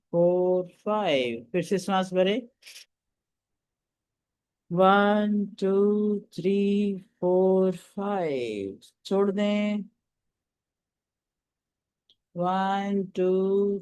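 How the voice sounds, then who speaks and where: A man leading a guided meditation as a teacher, giving soothing, deliberate instructions. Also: high voice, a little mellow, clean tone. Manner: soothing, deliberate, counting out breaths and steps.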